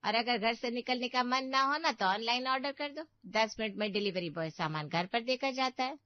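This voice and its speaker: Woman acting nagging elderly aunt, high voice, boastful, scolding, cartoon family drama, dull dry recording. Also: moderate pace, dry recording, natural voice. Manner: scolding, complaining, exclamatory. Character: boastful, nagging elder aunt, fault-finding older sister. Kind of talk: Hindi cartoon family story dubbing. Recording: dull, muffled studio voice track.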